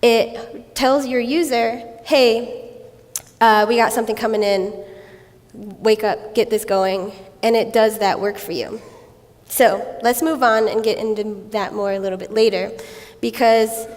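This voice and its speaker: A woman speaks on a conference stage in a high voice that is raspy from a recent cold. She is playful and self-mocking and laughs often as she explains. The sound carries the long reverb of a hall. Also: fairly even intonation, a little slow, darkish tone. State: recovering from a cold. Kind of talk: tech conference talk.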